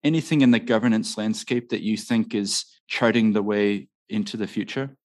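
A man's voice: fairly low pitch, fairly even intonation, normal speed. A man from New Zealand, chatting casually as an interviewer. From grew up in New Zealand.

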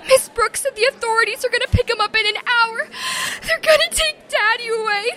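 A woman's voice: very high voice, a little fast, very noisy. A woman playing an earnest, distraught teenage daughter with a girlish voice in a radio comedy. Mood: tearful. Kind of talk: old-time radio comedy acting.

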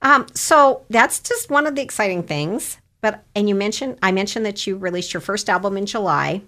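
A woman's voice: mid-range voice, lively intonation, moderate pace, bright, forceful tone. A woman, hosting a casual radio interview, chatty and rambling with frequent restarts. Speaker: host.

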